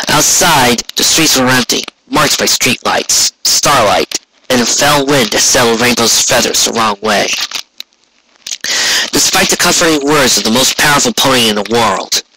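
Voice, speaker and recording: A man reading scripted story narration on an amateur recording with noise and clicks. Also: mid-range voice. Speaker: audiobook reader. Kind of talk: scripted fan fiction narration.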